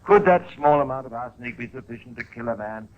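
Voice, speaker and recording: A man narrating a radio crime drama as host, in a measured, theatrical 1950s style with pointed emphasis. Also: medium pitch, animated, phone-quality sound.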